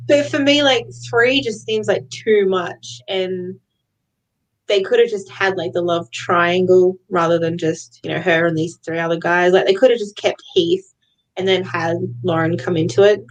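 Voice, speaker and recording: A woman's voice: fairly low pitch, fairly even intonation, moderate pace, poor audio. A woman co-hosting a book club, chatting casually about novels and often giggling as she talks. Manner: giggly, often laughing while talking.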